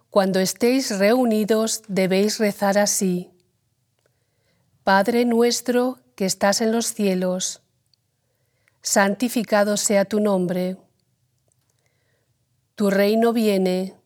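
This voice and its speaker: Woman reading scripture and prayer slow and calm, mid pitch. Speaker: reader.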